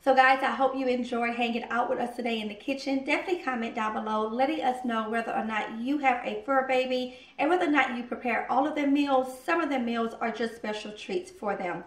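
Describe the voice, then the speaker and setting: A woman in her thirties chatting casually in her home kitchen as she walks through a cooking vlog, relaxed and laughing at herself. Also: on the high side, brisk, few pauses, recorded from a distance. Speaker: vlogger. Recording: echoey kitchen, voice from off-mic.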